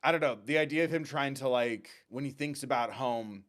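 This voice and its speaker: A man talks as the host of a reaction show, at an ordinary middle pitch. His commentary is casual and excited, full of playful, expressive asides. He often breaks off his own thought to throw in another remark. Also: a little fast, over music.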